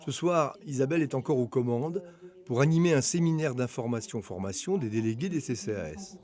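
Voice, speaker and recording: Male narrator, soft mellow tone, medium pitch, measured factual read for a local documentary. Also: animated.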